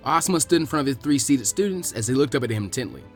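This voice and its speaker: Male story reader: fast pace, mid-range pitch, scripted fan fiction narration, music bed. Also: lively intonation.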